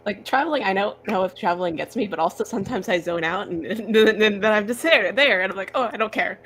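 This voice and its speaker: A woman's voice: mid-range voice, lively intonation, quick pace, fairly bright tone, slight background noise. A woman co-hosting a casual book discussion livestream in a self-deprecating, conversational way. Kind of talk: casual chat about books.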